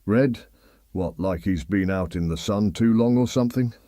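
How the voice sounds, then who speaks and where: A very deep, mellow and dry British voice from a male actor presents a late-night phone-in. He is a genial host, chatty and lightly bemused, in talk radio style. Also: moderate pace, no echo, lightly acted.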